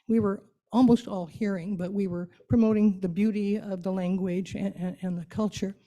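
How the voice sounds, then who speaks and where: A woman addresses a group in a relaxed, soft-edged voice. She is conversational and playful, coaxing people along as an informal speaker would. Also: mid-range voice, normal speed. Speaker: guest speaker leading an interactive church talk. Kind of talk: informal talk to a congregation.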